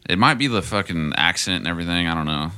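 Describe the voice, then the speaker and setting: A man's voice: deep voice, lively intonation, quick pace, pushed and bright, smooth. A man co-hosting a podcast, chatting about fights in a laid-back, mumbly, profane way. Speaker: podcast co-host. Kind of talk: casual chat about fights.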